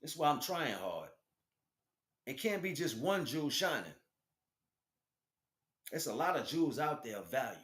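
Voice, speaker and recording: A man's voice: mid-range voice, very animated, moderate pace, pauses often, a bit bright, reverberant. An American man giving an informal spiritual monologue in streetwise slang, speaking emphatically and with repetition. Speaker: spiritual teacher and stream host.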